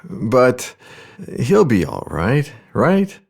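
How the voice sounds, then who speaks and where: A man's voice: medium pitch, huge pitch swings, very slow, gravelly, breathy edge, exaggerated voice. An actor playing an affectionate, nosy man with a casual, hesitant, coaxing manner in a video game scene. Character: affectionate, cajoling man, caring but nosy. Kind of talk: naturalistic video game cutscene acting.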